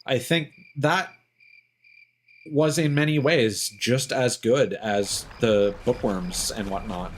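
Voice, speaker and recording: A man's voice: medium pitch, slow, rough recording. A man chatting casually on a livestream as its host, rambling through his opinions. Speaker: live streamer hosting a game stream.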